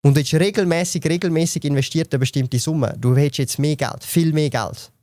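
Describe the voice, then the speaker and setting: A Swiss German man in his thirties explains things in quick bursts, full of fillers and restarts. His pace runs very fast, and the close mic sounds dry, with no room around the voice. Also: fairly high pitch, dark tone, dry recording. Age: 30s. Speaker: podcast guest, investor. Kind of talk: casual podcast chat.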